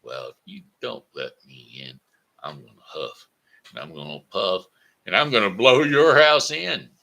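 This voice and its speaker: A man's voice: deep voice, unhurried, vocal fry, bright, forceful tone, heavily acted. A man voicing a hungry, sly big bad wolf in a storybook tale with a drawling, coaxing delivery. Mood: threatening.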